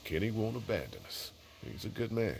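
A man with an American accent and a gravelly voice, playing a weary, protective survivor in a video game. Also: fairly low pitch, very animated, fairly quick, a little mellow, slight background noise. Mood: weary, steady. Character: weary, protective survivor, calm leader of men.

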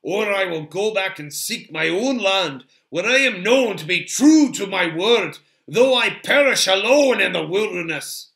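Man voicing a proud, forceful stubborn dwarf warrior. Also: high voice, measured pace, few pauses, pitched above the performer's own voice, exaggerated voice. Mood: indignant, defiant. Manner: loud, emphatic, defiant declamation. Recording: roomy, echoing sound.

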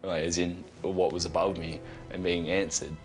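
Man, late teens, very low voice, hesitant, music behind. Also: flat delivery, rough recording. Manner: hesitant, halting, many fillers and restarts. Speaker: interviewee. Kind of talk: news interview. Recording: news interview with music underneath.

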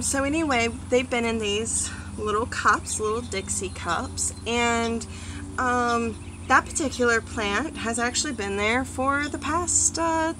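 A woman in her thirties giving a casual gardening vlog outdoors on a front porch, chatty, with many ums and animated asides. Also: a little high, noisy background. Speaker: gardening vlogger. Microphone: phone or camera on a small tripod.

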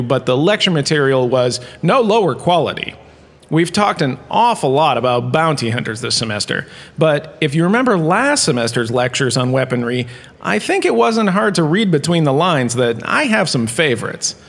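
A man gives a comedic mock lecture in a fairly high, very animated voice. His delivery is self-satisfied and theatrical, breaking into wry, chatty asides. Long reverb surrounds him, like a big hall. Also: moderate pace. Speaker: host playing a university lecturer.

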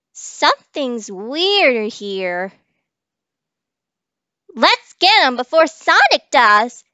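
Woman doing squeaky lovestruck hedgehog girl, very high, lively swoops, dry mic. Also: no room sound, highs cut off, heavily acted. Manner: high, eager, exclaiming.

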